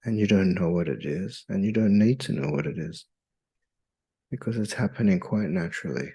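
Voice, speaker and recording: A man leading a guided meditation in a soothing, hypnotic, measured way with long pauses. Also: deep voice, expressive, vocal fry, warm tone, husky.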